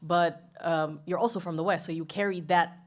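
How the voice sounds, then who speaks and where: Woman moderator, lower voice, probing questions, live stage panel. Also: steady tone, moderate pace. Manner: probing, brief affirmations. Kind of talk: panel discussion. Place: event venue stage.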